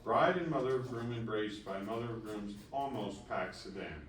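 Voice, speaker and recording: A man's voice: fairly low pitch, animated, moderate pace. A man reading scripted stage directions as narrator at a live table read. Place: live event room before an audience. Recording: echoey room, distant mic, faint noise.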